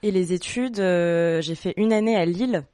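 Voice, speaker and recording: A woman in her late twenties from Normandy, a guest on a radio show, talking casually with many pauses and restarts. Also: on the low side, mellow, no room sound, clean studio sound. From Normandy, France. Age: late 20s. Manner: hesitant, many fillers and restarts. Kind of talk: casual interview chat.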